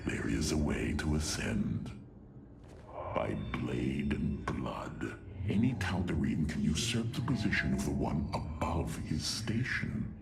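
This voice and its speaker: A man playing an arrogant, ruthless alien warrior hungry for power in a video game, slow and theatrical, with a gravelly voice. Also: extremely low pitch, background noise, heavily acted. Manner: slow, theatrical, imperious, sneering. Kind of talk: video game cinematic voice acting.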